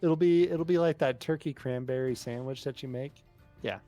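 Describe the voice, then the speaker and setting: A man with a mid-pitched voice banters as a player at a tabletop game. He is casual and joking, doubling back on his phrases, with soft music underneath. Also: moderate pace.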